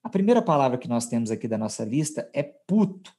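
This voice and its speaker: A man's voice: mid-range voice, big pitch swings, fairly quick, dark tone. A Brazilian man hosting a podcast language lesson, explaining conversationally and checking in with his co-host. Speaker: podcast host teaching Portuguese.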